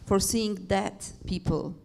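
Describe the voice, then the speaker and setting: A woman with a Polish accent moderating a conference panel, asking questions haltingly as she searches for words. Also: medium pitch, gravelly, noisy background.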